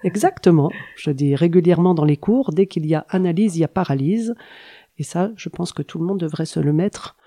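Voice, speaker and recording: A woman in middle age talks in a warm, mellow voice, unhurried and teacherly. A light cold leaves her a little congested. She pauses often to gather her thoughts while explaining. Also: lowish voice. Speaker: guest, traditional Chinese medicine practitioner. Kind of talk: explanatory interview talk.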